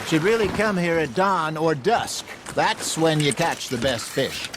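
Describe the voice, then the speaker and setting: Lively, wry old outlaw angler. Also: male voice, a little high, unhurried, noisy background. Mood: instructive. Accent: American. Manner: folksy, instructive, wry storytelling. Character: wry, knowing older male outlaw angler. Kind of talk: video game cutscene acting. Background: water sounds.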